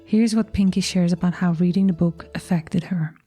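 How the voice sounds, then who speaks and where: A woman's voice: on the low side, fairly even intonation, normal speed, mellow, breathy edge, soft background music. A woman hosting a podcast, speaking in a scripted introduction and interview style. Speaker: podcast host.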